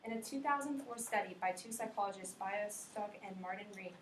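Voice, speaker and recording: A young woman delivers an informative class speech, earnest and often restarting her sentences. Her voice sits in a middling pitch range. The recording is distant and roomy, with a faint hiss in the background. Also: fairly quick. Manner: earnest, frequent restarts and self-corrections. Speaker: student giving a class speech.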